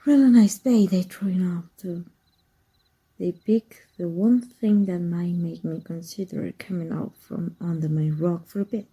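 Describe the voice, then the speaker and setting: A woman acts out an exiled heretic magic teacher, wry yet fond of a former student. The voice is very mellow and dark, husky and breathy, on the low side. The delivery is slow, soft and intimate, in the manner of an ASMR roleplay. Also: fairly even intonation, poor audio. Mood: wry, guarded. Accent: Mexican.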